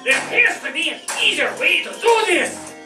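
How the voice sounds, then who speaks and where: A man's voice: extremely high pitch, sing-song, moderate pace, music in the background. A man acting an earnest, struggling homeowner in a comic radio play on stage. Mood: exasperated. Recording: echoey stage, distant mic.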